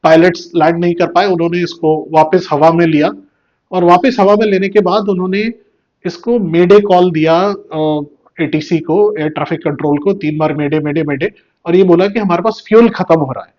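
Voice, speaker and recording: Male explainer host, fairly high voice, teacherly delivery, slightly muffled recording. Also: fairly even intonation, moderate pace, a little mellow. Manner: didactic, asks and answers own questions, repeats key words. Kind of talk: informal news explainer.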